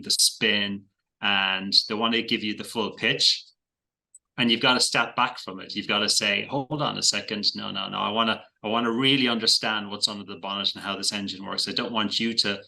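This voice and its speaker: A middle-aged Irish man with a low voice and a strikingly bright, forceful edge. He talks in an easygoing interview manner, circling back over his words as he finds the right phrasing. His laughs come lightly. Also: poor audio. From Ireland. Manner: thoughtful, repeats words while finding phrasing, light laughs. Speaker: investment guest. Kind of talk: casual interview chat.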